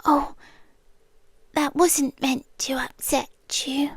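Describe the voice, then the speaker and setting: Brief: a British woman as a lovesick kidnapper, high and unhurried, murmuring into a dry close mic. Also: level tone, dry recording. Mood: calm obsessive. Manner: soft-spoken, often slipping into whispers. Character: obsessive romantic young woman kidnapper, calmly sincere. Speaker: ASMR roleplay creator. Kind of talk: ASMR roleplay.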